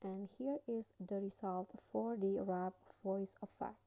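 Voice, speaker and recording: A woman's voice: mid-range voice, fairly even intonation, a little slow, warm tone. A woman hosting a spoken step-by-step tutorial for an editing app. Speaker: tutorial host.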